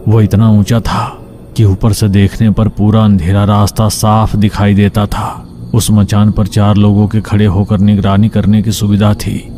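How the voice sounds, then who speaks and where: A man's voice: on the low side, monotone, measured pace, warm tone. A man narrating a scripted horror story.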